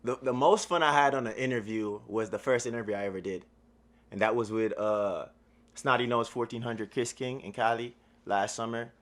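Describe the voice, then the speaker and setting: A man's voice: mid-range voice, moderate pace, a bit bright. A man from Jamaica with a Jamaican accent, a guest chatting casually in an interview with slangy, laid-back talk. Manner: slangy, laid-back, leans on "you feel me". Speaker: guest, media platform owner.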